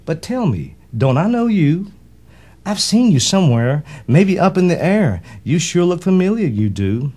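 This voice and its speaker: A man's voice: high voice, sing-song, a little slow, dark tone, voice pushed up, exaggerated voice. A man voicing a friendly, earnest young male duck in a children's storybook, speaking in simple singsong rhyme. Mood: curious. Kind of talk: rhyming children's storybook character.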